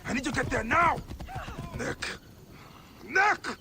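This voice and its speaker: An actor playing an earnest, protective and vengeful warrior of ancient Egypt in a video game cutscene. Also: male voice, very high voice, expressive, slow pace, background noise, heavily acted. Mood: urgent, alarmed.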